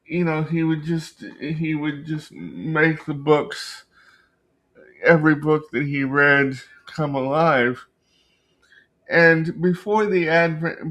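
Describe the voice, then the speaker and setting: A man hosting a book channel, telling a story casually and unscripted, haltingly searching for words and often rephrasing himself. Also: a little high, sharp tone. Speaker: book review channel host.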